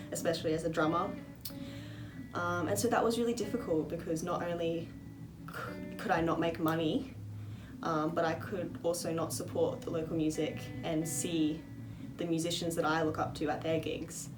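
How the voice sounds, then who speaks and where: A twenty-year-old woman giving a personal account in an interview. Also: sits low. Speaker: interviewee, musician. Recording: distant mic, music behind. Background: plucked guitar music.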